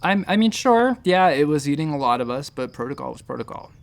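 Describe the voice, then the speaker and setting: A gravelly, rambling space doctor. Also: male voice, a little high, very animated, a little fast. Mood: nervous, wry. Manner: hesitant, rambling, self-deprecating. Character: nervous, rambling male space-station doctor. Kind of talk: sci-fi audio drama acting, conversational first-person storytelling.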